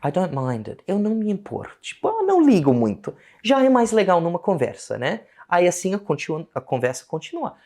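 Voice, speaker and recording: Australian man, English teacher, speaks Portuguese with a foreign accent, animated delivery, lesson video. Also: sits high. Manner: animated, switches between Portuguese and slow English examples. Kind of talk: casual online lesson.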